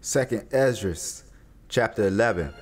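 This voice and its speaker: A man narrating dramatized scripture in a solemn, measured storytelling manner. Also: lowish voice, expressive, faint music behind.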